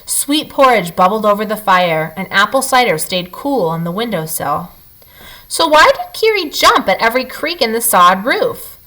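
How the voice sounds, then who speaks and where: A woman reading a children's picture book aloud as a storyteller, with expressive, dramatic emphasis for young listeners. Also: medium pitch, normal speed, low-quality recording.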